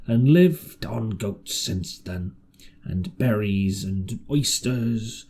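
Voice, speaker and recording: A man voicing a ragged, marooned old sailor in an audiobook, half-wild and pious, with a hoarse, halting, rustic way of speaking. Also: on the low side, expressive, mellow, full character voice. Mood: wistful. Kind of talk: audiobook character voice.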